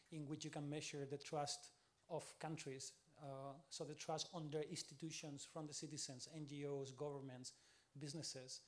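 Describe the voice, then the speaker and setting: A man from Spain with a Spanish accent, giving a conference presentation as a technical specialist in a brisk, lively way. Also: fairly high pitch. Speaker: panel speaker, tech specialist.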